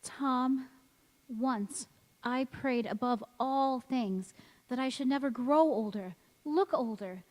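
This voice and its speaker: A woman plays an anguished, devoted young wife in a radio drama. Her voice sits fairly high and moves very slowly, with earnest melodrama. It rings through a reverberant hall with music behind. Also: darkish tone. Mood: anguished, pleading. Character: anguished, devoted young-looking wife cursed never to age. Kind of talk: stage radio-play acting. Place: large reverberant church or hall.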